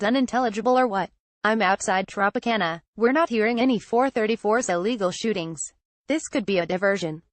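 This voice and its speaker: A woman's voice: mid-range voice, dry recording. Woman reading news in a dry recording. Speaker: news reader. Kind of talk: scripted news narration, reading aloud.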